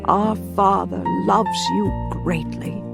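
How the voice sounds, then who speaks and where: A woman voicing a gentle, benevolent male archangel in an audiobook, solemn and soothing. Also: mid-range voice, steady tone, takes it slowly, creaky, over loud music, pitched above the performer's own voice.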